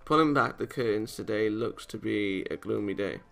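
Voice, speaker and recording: A man reading a visual novel aloud as a let's-play narrator, expressive and theatrical, with game music behind him. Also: fairly low pitch, moderate pace, vocal fry.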